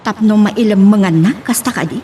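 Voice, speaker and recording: A woman's voice: mid-range voice, fairly quick, mellow, slight background noise, close to an everyday voice. A woman with an Ilocano Filipino accent playing a concerned, scolding friend in an audio melodrama, probing and emphatic. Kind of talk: Ilocano radio-style melodrama acting.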